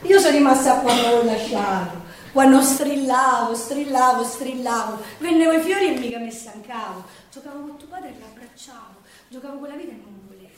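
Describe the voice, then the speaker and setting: A woman's voice: sits high, a little fast, close to an everyday voice. An actress playing a weary, earthy working-class Roman woman, telling her story in a colloquial theatrical monologue with a Roman accent. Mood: proud, wistful. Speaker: stage actress. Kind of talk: theatrical dialect monologue in verse. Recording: roomy, distant stage recording.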